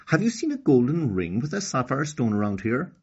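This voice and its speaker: A man's voice: medium pitch, sing-song, fairly quick, a little mellow, slightly rough, voice pushed up. An Irish man voicing a polite, earnest little village girl for a storybook, in a sing-song manner. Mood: questioning, earnest. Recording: slightly dull lo-fi recording.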